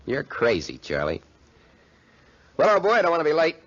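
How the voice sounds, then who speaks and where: An actor playing a selfish, sneering young American college playboy in a 1940s radio drama. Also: male voice, a little high, huge pitch swings, moderate pace. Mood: scornful. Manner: sneering, dismissive. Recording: muffled 1940s radio recording.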